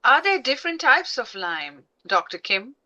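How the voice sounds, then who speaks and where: Bright upbeat woman host. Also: on the high side, sing-song, moderate pace, highs cut off. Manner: upbeat, prompting questions. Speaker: podcast host. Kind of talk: moderating a group call. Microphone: video call.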